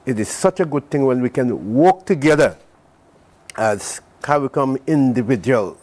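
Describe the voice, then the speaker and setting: A man's voice: mid-range voice, animated, unhurried, a little mellow. An older man, an association president and studio guest on a morning TV show, speaking earnestly and repeating his thanks. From Saint Vincent. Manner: earnest, thankful, repetitive phrasing. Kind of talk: morning TV interview.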